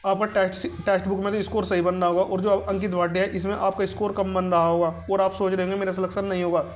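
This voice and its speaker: A man giving informal advice to viewers as a channel host, repeating his points for emphasis. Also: high voice. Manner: repetitive, emphatic with self-posed questions. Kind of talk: informal advisory talk to viewers. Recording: dull, lo-fi sound.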